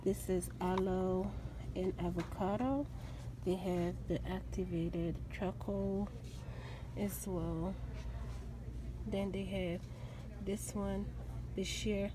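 A woman's voice: medium pitch, flat delivery, slow pace, pauses often. A woman casually walking through a store aisle, reading product labels aloud with fillers, filmed on a handheld phone. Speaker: vlogger. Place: large discount store aisle, indoors. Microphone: handheld phone camera. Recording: phone video with loud store noise.

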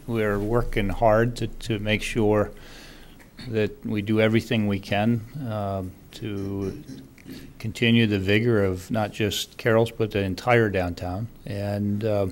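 A man chairing a town board meeting, speaking haltingly with many fillers and restarts. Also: on the low side.

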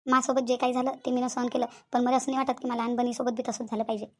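Anxious village women of a cartoon family drama, acted by a woman, with a high-pitched voice and a Vidarbha Marathi accent. The delivery is quick, emphatic and fretful, circling back over the same words in homely, colloquial speech. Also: flat delivery, noisy background, lightly acted. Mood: anxious, resolute.

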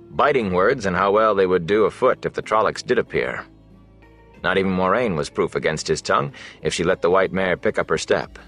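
A man narrating a fantasy novel as an audiobook, in a measured, expressive storytelling manner. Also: low-pitched.

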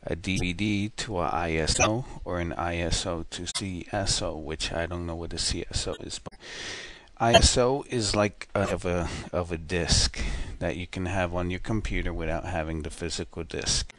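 A man hosting a casual software tutorial over a screen recording, talking in a relaxed, rambling way with fillers and self-corrections. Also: low voice, moderate pace.

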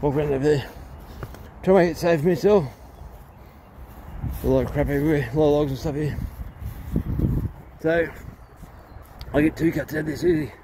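A middle-aged man with a somewhat high-pitched voice talks in a plain, rambling way to his viewers. It is casual, unscripted vlog speech. He is recorded outdoors on a camera microphone, with faint noise around him. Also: normal speed, pauses often. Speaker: chainsaw hobbyist presenting his video. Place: outdoors in an open wood yard. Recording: noisy outdoor recording with ambient hum.

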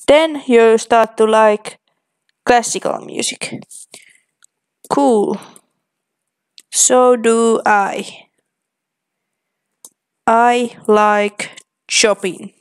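A young woman reads her own typed chat messages aloud, one careful word at a time. Her voice sits a little high for a woman, and her delivery is very slow and deliberate. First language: Finnish. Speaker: vlogger. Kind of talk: casual commentary reading chat aloud.

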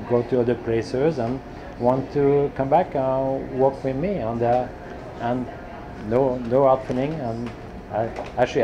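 A man in his sixties with a French accent, a chef reminiscing haltingly in a documentary interview. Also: mid-range voice. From France. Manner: halting, warm reminiscing with many fillers. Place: restaurant patio outdoors. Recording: noisy outdoor street ambience.

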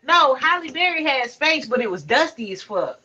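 A woman's voice: high-pitched, very animated, moderate pace, pushed and bright, a little hiss or hum. A young woman, chatting casually and slangily with a giggly, swearing manner. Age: young adult. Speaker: livestream panelist. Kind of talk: casual chat. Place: living room at home. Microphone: headset on a video call. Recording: dull, lo-fi video call audio.

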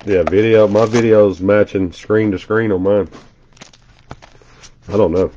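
A man's voice: lowish voice, steady tone, unhurried, dark tone, lo-fi sound, a little hiss or hum. A congested man doing casual live commentary as he opens trading card packs, rattling off player names with chatty asides. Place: indoor room at a table.

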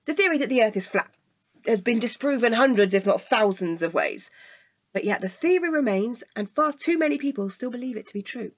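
An actress playing a pedantic, logical, wearily patient woman with a precise, deadpan manner in a comedic audio drama. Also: a little high, brisk. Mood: lecturing.

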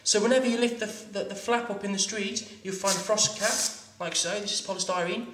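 A man's voice: high voice, steady tone, fairly quick, distant mic, background noise. A man in his thirties giving a hands-on plumbing demonstration in a training workshop, explaining step by step and often restarting his sentences. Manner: practical, instructive, stumbling restarts. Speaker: plumbing instructor. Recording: echoey room, voice a bit off-mic.

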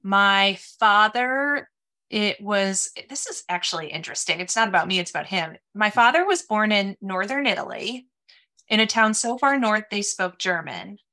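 A woman in her thirties, raised in Minnesota, chatting as a guest on a video-call podcast, animated and full of casual fillers. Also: medium pitch, moderate pace. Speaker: podcast guest, sales executive. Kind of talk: casual interview chat. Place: home office, small room.

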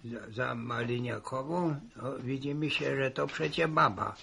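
Gravelly, halting old village grandfather. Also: mid-range voice, bright, forceful tone, heavily acted. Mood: flustered. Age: older. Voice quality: husky, creaky old voice. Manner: halting, repeats and fumbles for words. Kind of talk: 1960s Polish radio serial acting.

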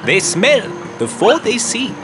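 Brave young boy, children's cartoon, high-pitched, formal and earnest, music behind. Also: huge pitch swings, slow, heavily acted. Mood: serious.

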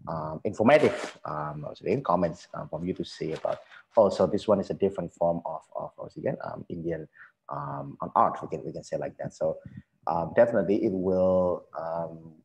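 A man in his thirties with an Indonesian accent, answering as an academic panelist on a headset, politely and haltingly, often repeating himself. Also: low voice, darkish tone, low-quality recording. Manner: hesitant, halting, repetitive, polite. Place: small room at home, video call.

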